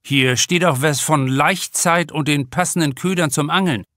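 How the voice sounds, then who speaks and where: A man's voice: a little high, moderate pace, pushed and bright, no room sound, clean studio sound. A man voicing a nervous, stammering young male lifeguard in an audiobook. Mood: flustered. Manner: hesitant, stammering. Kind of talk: audiobook character dialogue.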